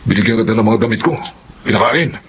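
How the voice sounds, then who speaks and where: Low-voiced, cocky gang boss played by an actor. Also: male voice, animated, quick pace, lightly acted. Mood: cocky, persuasive. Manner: pushy, self-assured. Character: cocky, domineering male thief, leader of a gang. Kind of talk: Filipino radio drama acting. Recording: dull, faintly noisy.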